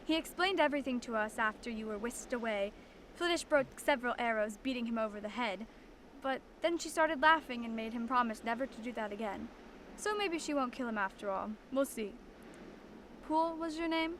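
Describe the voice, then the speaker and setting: A bold fairy princess acted by a woman in a fantasy audio drama. Her high voice is playful and sure of itself, with faint noise behind it. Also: lively intonation, fairly quick, fairly bright tone, low-quality recording. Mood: playful, teasing. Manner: playful, self-assured. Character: bold, teasing fairy princess, curious and confident.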